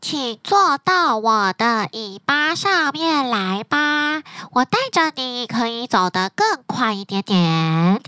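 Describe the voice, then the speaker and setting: A woman voicing a small, eager, helpful talking fox in a children's bedtime story, speaking in a high, hurried voice. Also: big pitch swings, sharp tone, no room sound, studio quality, exaggerated voice. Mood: friendly advising. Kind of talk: storybook character voice.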